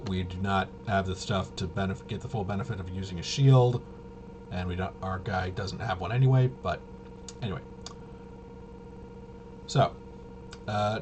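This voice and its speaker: A man giving a casual, off-the-cuff rules walkthrough as a tabletop game reviewer, in a halting, mumbling way. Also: low voice. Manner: halting, mumbling through half-read rules. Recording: clearly noisy home recording.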